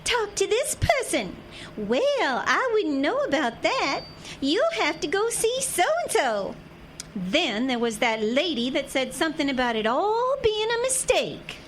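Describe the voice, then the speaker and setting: A feisty young Southern bride played by a woman with a high voice and a proud, teasing streak. She talks in folksy turns of phrase, in radio-drama style over faint background noise. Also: big pitch swings, a little slow. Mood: exasperated.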